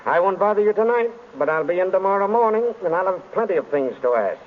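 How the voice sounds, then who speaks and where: An actor playing a gruff, suspicious homicide inspector who questions bluntly in plain street grammar, in 1930s radio drama style. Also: male voice, high-pitched, expressive, fast. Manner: blunt, interrogating, ungrammatical. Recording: tinny, noisy vintage radio recording.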